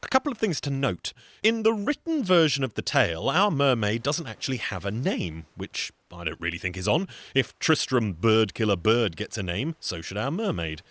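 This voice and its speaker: A man's voice: medium pitch, very animated, normal speed, fairly bright tone, dry recording. A man with a British accent telling a scripted comic story in a wry, chatty way, full of asides. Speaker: storyteller and singer.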